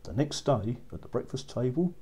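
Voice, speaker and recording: A man narrating a children's story as a storyteller, with expressive, dramatic delivery. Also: medium pitch, moderate pace, husky.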